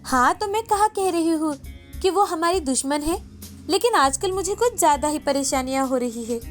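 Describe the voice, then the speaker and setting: A woman's voice: high voice, lively intonation, moderate pace, soft background music. A woman voicing a sly, greedy young wife in a moral story, with a nagging, complaining, sarcastic manner. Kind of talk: animated moral story dialogue.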